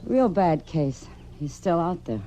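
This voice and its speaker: A woman's voice: fairly low pitch, sing-song, unhurried, warm tone. An actress playing a worried, guarded saloon woman in an old-time radio western, firm and stubbornly protective. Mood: weary, guarded. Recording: noisy old radio drama recording.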